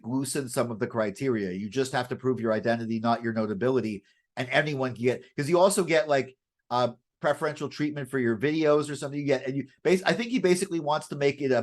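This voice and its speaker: A man co-hosting a casual current affairs chat, often repeating and rephrasing himself in a halting way. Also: mid-range voice. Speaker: podcast co-host and writer.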